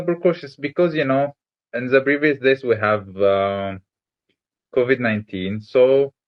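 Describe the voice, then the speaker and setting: A man from Egypt, a native Arabic speaker with Malaysian-influenced English, answering interview questions casually. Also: medium pitch. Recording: dull lo-fi sound.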